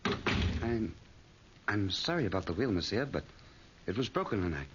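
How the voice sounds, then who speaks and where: An actor playing a nervous, cornered casino croupier, anxious and halting, in 1940s radio style. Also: male voice, on the low side, sing-song. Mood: fearful. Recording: muffled, very noisy 1940s radio recording.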